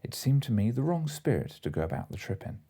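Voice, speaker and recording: A man's voice: mid-range voice, sing-song, fast talker, vocal fry, warm tone, husky. A man narrating classic comic fiction as an audiobook reader, from a script.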